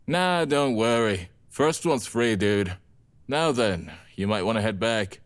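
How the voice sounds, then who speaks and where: An actor playing a laid-back, friendly young vampire gang member in an audio drama, talking in casual slang. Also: male voice, on the low side, animated, slow. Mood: friendly, easygoing.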